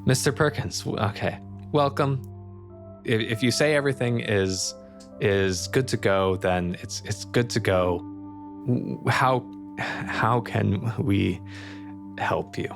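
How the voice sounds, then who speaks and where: A man playing a weary, annoyed colony engineer in a tabletop game, speaking in a blunt, halting, grudging way. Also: fairly low pitch, creaky, faint music behind. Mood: uneasy, polite. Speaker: non-player character in a tabletop game.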